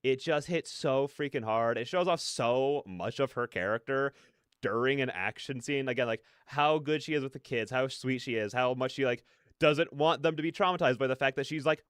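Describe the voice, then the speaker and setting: A man hosting a reaction video, giving casual, excited commentary in a rambling, repetitive way with stammered emphasis. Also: mid-range voice, clear, resonant tone, dry recording, clean studio sound.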